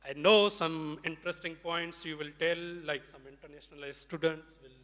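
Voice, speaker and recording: A man's voice: fairly high pitch, fairly even intonation, normal speed, a bit bright. A man moderating a student panel in an informal way, inviting guests to speak and asking them questions. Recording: reverberant hall over microphone.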